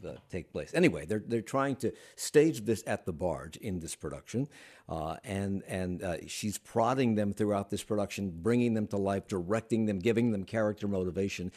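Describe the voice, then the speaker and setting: A man's voice: deep voice, a little mellow. An older man hosting a radio talk show, from Washington, D.C., asking long winding questions with plenty of fillers. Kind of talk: radio interview.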